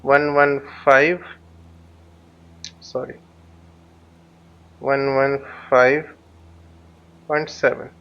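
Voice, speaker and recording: A man giving live tutorial commentary as he flies a simulator, speaking haltingly and often repeating or rephrasing himself. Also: mid-range voice, flat delivery, fairly bright tone, clear, resonant tone, muffled recording. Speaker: flight simulator instructor and channel host.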